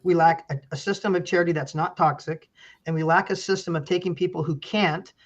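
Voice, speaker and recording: A man's voice: on the high side, steady tone, a little fast, highs cut off. A middle-aged man, a pastor and county official, speaking earnestly as an interview guest and telling stories with many restarts. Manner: earnest, storytelling, frequent restarts.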